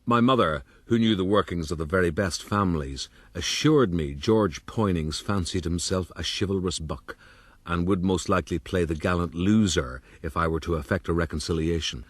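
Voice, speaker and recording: An actor playing a suave, self-regarding rogue in a radio drama, husky, wry and florid. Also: male voice, low-pitched, normal speed. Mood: wry recollection. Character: suave, self-regarding Irish rogue recalling his exploits. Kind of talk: radio drama acting and narration.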